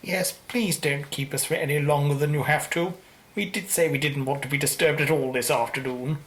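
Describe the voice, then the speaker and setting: Woman acting low-voiced prim executive. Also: brisk, a little hiss or hum, lightly acted. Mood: curt. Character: prim, formal senior business partner. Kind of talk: radio play acting.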